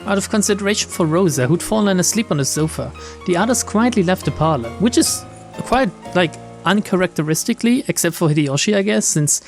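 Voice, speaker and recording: A let's player casually commentating and reading a visual novel's narration aloud. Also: medium pitch. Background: game music behind the voice.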